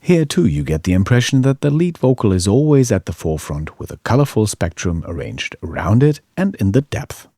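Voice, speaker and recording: A man's voice: fairly low pitch, big pitch swings, brisk, few pauses, music in the background. A man giving scripted tutorial narration as an audio engineering instructor.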